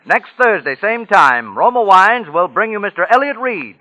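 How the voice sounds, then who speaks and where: A man's voice: high-pitched, sing-song, normal speed, pushed and bright, no room sound, poor audio. A man making program announcements in an emphatic, dramatic 1940s radio style, on a vintage broadcast recording.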